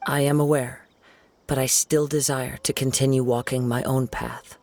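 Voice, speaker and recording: An actor playing a gentle, reflective young wandering swordsman in a video game, speaking in a measured, formal, contemplative way. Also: mid-range voice, flat delivery, no room sound, close to an everyday voice. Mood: polite, resolute.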